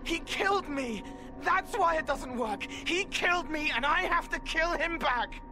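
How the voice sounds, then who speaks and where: A man's voice: very high-pitched, animated, a little slow, over music, heavily acted. An actor playing an anguished young spirit in a boy's form, speaking in haunted, poetic fragments for a video game. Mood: anguished, vengeful. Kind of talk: dramatic video game acting.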